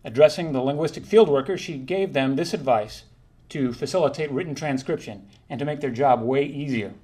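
A man presenting a prepared linguistics talk, reading in a measured, formal way and sometimes correcting himself. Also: medium pitch, a little mellow, breathy edge. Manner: measured, formal delivery with occasional self-corrections.

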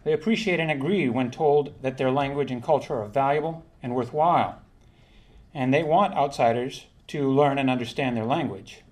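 A man delivers a prepared academic presentation in a measured, formal tone. His pitch sits in an ordinary middle range, and he now and then stops to correct a word before carrying on. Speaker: linguistics conference presenter. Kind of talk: reading a prepared academic talk.

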